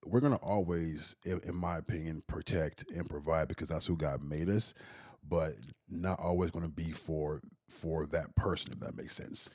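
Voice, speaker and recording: Husky low-voiced man, sniffly with a cold. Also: steady tone, normal speed, hardly any pauses, a little mellow, no room sound, clean studio sound. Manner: hesitant, many fillers and restarts. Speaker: podcast host. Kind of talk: casual podcast chat.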